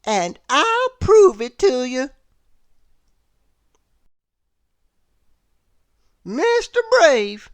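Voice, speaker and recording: A woman voicing a mischievous little storybook girl who declaims loudly and with taunting emphasis. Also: high-pitched, very animated, slow pace, no echo, pitched above the performer's own voice, heavily acted. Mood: challenging. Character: mischievous, naughty little cartoon girl troublemaker, loud and taunting.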